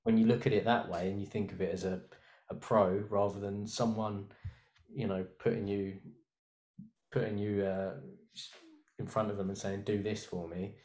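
A man's voice: fairly low pitch, level tone, normal speed, a little mellow, roomy sound. A man in his thirties, a designer guest on a chat podcast, who rambles and thinks aloud with many restarts. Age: 30s.

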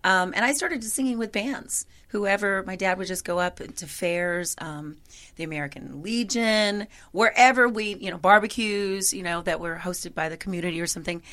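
A woman singer in a conversational interview, with a gravelly voice and a chatty manner full of "you know". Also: medium pitch, normal speed, fairly bright tone.

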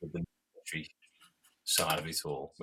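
A man with a very low voice and a bright, forceful edge. He talks casually and animatedly as a podcast co-host. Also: a little fast, a little hiss or hum. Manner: animated, puts on silly voices when quoting people. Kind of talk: casual chat.

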